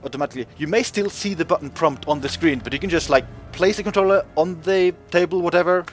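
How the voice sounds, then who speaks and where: A man's voice: quick pace, soft background music. Chatty man narrating game footage. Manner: chatty, many fillers, pitch jumps up when joking. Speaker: gaming video host. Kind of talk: casual game commentary.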